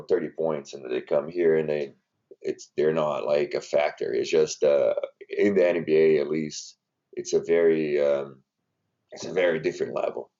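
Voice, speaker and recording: A man's voice: extremely low pitch, mellow. A man from Brazil with a Brazilian accent, speaking non-native English in a casual interview and rambling with many fillers as a strength coach. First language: Portuguese. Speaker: NBA strength and conditioning coach. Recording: dull lo-fi audio.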